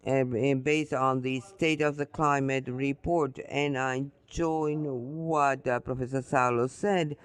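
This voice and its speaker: A man answering a question as a conference panelist, halting and full of "uh" fillers. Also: mid-range voice, steady tone, clear, resonant tone, no room sound. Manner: slow and halting, with many uh fillers.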